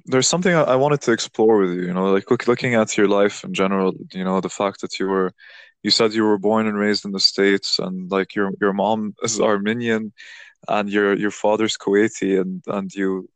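Low-voiced man, rambling reflective talk. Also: fairly even intonation, normal speed, no echo. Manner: rambling, many restarts, reflective. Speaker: podcast host. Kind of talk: casual conversation.

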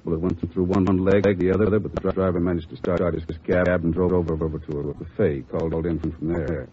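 A man playing a gruff, brisk, authoritative police chief briefing detectives in an old radio crime drama. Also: low voice, level tone, dark tone. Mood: businesslike. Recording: muffled, noisy old radio recording.

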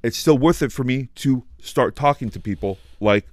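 A man hosting a casual podcast chat, talking loudly and passionately, swearing and filling his speech with "like". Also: mid-range voice, moderate pace, no room sound, studio quality. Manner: loud, passionate, swears, heavy use of "like". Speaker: podcast host.

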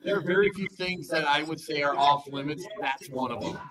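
A man co-hosting a live podcast with confident, dry predictions. His voice sits fairly high, and bar chatter carries on behind him. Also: fairly quick, poor audio. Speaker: podcast co-host. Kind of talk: casual live chat.

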